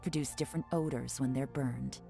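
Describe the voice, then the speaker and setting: A woman voicing a shrewd, self-assured female intelligence agent in a video game, composed and deliberate. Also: very deep voice, steady tone, creaky, over music. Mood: cool, analytical.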